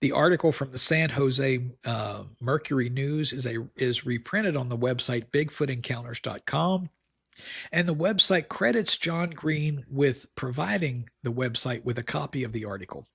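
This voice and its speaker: A man hosting a podcast, delivering semi-scripted commentary in a folksy, wry manner with deliberate phrasing. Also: mid-range voice, creaky, fairly bright tone, slightly rough, no echo, clean studio sound. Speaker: podcast host.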